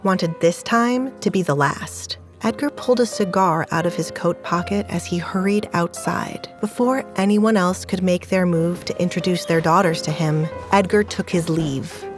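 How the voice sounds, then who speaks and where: Narrator: woman with a lower voice reading a drama evenly over faint music. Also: normal speed, few pauses. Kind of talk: scripted story narration.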